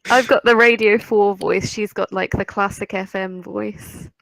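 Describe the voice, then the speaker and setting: A woman chats playfully on a casual livestream, her mid-range voice carrying a gravelly edge. The sound is close and dry. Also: mid-range voice, steady tone, unhurried, a bit bright, no room sound, rough recording. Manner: chatty, playful. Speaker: streamer co-host.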